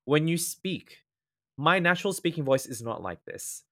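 A man's voice: a little high, sing-song, moderate pace, fairly bright tone. Earnest Australian man explaining tips. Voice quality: slightly nasal natural voice. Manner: earnest, explanatory, lays out tips in ordered points. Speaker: guest speaker, former event MC. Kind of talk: casual podcast interview.

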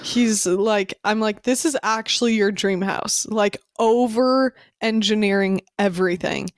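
A woman chats as a podcast co-host, slightly stuffed up from allergies. Her voice is smooth and clean, mid-pitched, recorded close in a dry space. She talks in a bubbly, loose way, peppered with "like". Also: fairly even intonation, measured pace, no echo. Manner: bubbly, says "like" a lot. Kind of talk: casual chat.

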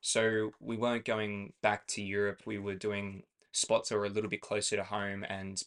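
A man's voice: lowish voice, monotone, normal speed, fairly bright tone. A young Australian man co-hosting a podcast, talking in a rambling, self-correcting way and laughing easily. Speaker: podcast co-host. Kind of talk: casual chat.

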